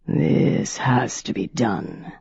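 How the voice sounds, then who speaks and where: A narrator voicing an ancient male vampire master who speaks slowly, coldly and in a low hush. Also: lively intonation, creaky, husky, full character voice. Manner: slow, low, cold and softly whispered. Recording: dull dry audiobook recording.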